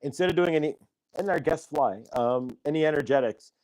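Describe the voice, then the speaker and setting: A man's voice: a little high, a little fast, a little mellow, a little hiss or hum, rough recording. Rambling, grateful man hosting a live stream. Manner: rambling, thankful, many fillers and restarts. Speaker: host. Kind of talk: casual live-stream chat. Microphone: clip-on transmitter mic.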